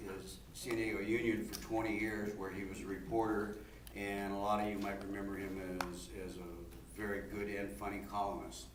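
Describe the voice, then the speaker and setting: A man with a fairly low voice introduces a panel in an informal, anecdotal way. He speaks haltingly and doubles back on words. He sounds distant from the microphone, with clear noise around him. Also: little pitch movement. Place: large echoing indoor venue, public forum. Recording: echoey, noisy hall, distant microphone.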